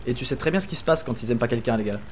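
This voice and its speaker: A man's voice: mid-range voice, racing, lightly acted. A man playing a reasonable but awkward henchman on a quest in a comedic audio serial, speaking casually with hesitations. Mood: reproachful. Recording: loud background noise.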